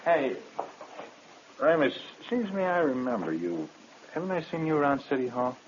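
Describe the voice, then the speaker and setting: An actor playing a grumbling, needling jailhouse card dealer in a 1950s radio crime drama. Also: male voice, medium pitch, sing-song, moderate pace, lightly acted. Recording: muffled, noisy old radio recording.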